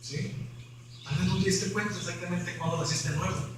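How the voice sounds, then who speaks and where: A man's voice: high-pitched, fairly even intonation, normal speed, heavy reverb, recorded from a distance, background noise. A man preaching an evangelical sermon as pastor, rising to emphatic questions.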